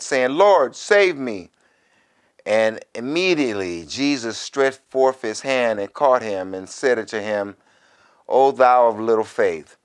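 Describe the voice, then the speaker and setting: A man, a minister, reading scripture deliberately in a preacherly way and adding quieter, conversational commentary. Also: mid-range voice, clean tone. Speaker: minister, Bible teacher.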